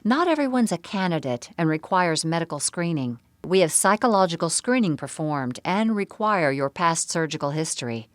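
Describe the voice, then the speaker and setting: A woman reading scripted medical seminar narration in a measured, explanatory way. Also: fairly low pitch, big pitch swings, no room sound.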